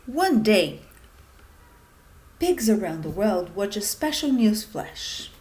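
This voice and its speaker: Slow-paced woman reading a storybook aloud. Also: mid-range voice, very animated, darkish tone. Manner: deliberate, addressing children with questions. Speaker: teacher reading aloud to children. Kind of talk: children's storybook read-aloud.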